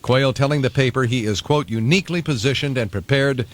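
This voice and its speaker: A man's voice: mid-range voice, fast talker. A man reading news items on a radio show and adding his own offhand remarks. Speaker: radio newsreader and sidekick.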